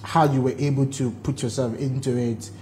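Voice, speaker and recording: A man's voice: medium pitch, little pitch movement, normal speed, warm tone, a little hiss or hum, low-quality recording. A man with a Nigerian accent co-hosting, putting questions in a casual interview style. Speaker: TV show co-host.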